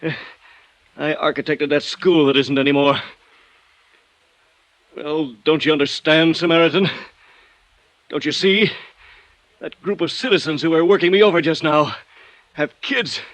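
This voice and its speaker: Bitter, higher-voiced beaten man. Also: a little slow, pauses often, fairly bright tone, no echo. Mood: bitter, dazed. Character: bitter, beaten-up male architect, resigned and sardonic. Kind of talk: 1950s radio drama acting.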